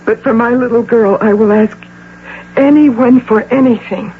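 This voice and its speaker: A woman's voice: fairly high pitch, fairly even intonation, measured pace, natural voice. An actress playing a proud, curt, middle-aged executive desperate over her missing daughter, in an intense radio drama style. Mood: pleading. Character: imperious, high-powered businesswoman desperate over her missing imagined daughter. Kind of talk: 1980 radio drama acting. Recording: tinny, hissy old radio broadcast.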